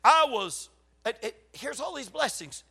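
An older man with a Southern US accent preaching in a folksy, emphatic storytelling way, his voice slightly raspy from a lingering cold. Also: very high voice, animated, a little slow, bright, forceful tone. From South Carolina, USA. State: getting over a cold. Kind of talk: sermon to a congregation.

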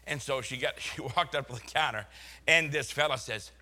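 A man's voice: mid-range voice, normal speed, pushed and bright. Chuckling older Boston preacher man. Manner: folksy, chuckling storyteller. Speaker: pastor. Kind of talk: sermon with humorous stories.

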